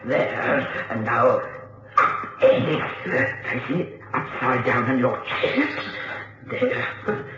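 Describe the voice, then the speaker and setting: Casting: gloating, mad scientist for radio drama; lively pitch swings, noisy old recording. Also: male voice, heavy reverb, lo-fi sound. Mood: eager menace. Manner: deliberate, relishing, menacing. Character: mad, gloating male scientist villain obsessed with beetles.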